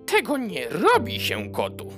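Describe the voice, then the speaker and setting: A woman voicing a proud, self-important cat in a children's audio drama, with a slow, haughty, dramatic delivery. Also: medium pitch, wildly swooping pitch, music in the background. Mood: offended.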